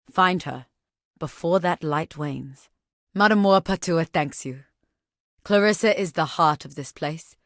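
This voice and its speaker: Game role: solemn warrior matriarch, fairly deep female register, deliberate and formal, protective, very dry recording. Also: dry recording. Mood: somber, grieving. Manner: measured, grave, formal, deliberate phrasing. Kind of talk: video game character dialogue.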